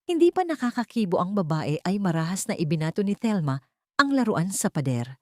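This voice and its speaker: A woman narrates a scripted drama in a slightly low voice. Her intonation is highly animated. The recording is dry, with no room sound. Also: fairly quick, dry recording.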